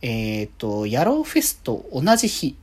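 A woman hosting a podcast, chatting casually on her own in halting, trailing phrases. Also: a bass voice, huge pitch swings, a little mellow, husky. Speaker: podcast host. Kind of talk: casual solo chat about fan events.

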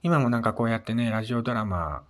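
A man hosting a casual solo radio chat, speaking politely and a little hesitantly, with soft fillers between his phrases. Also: medium pitch, fast. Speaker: solo podcast host.

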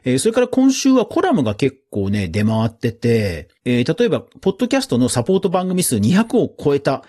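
A man's voice: medium pitch, wildly swooping pitch, a little fast, dark tone, breathy edge. A man hosting a podcast news round-up in a casual, chatty way, with informal asides and little interjections of agreement. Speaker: podcast host.

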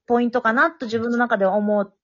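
A woman's voice: on the high side, fairly even intonation, fast, muffled recording. A woman chatting casually as an interviewed member of an amateur group, feeling her way through her answers. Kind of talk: casual chat.

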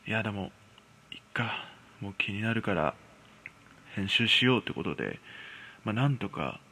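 A man's voice: lowish voice, fairly bright tone. A man casually telling a ghost story in a conversational, hesitant way, acting out people's reactions as he goes. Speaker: storyteller host.

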